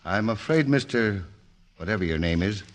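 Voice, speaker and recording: An actor playing a grave, formal official in an old-time radio drama. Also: male voice, lowish voice, animated, moderate pace, natural voice. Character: grave, formal male official announcing murder charge.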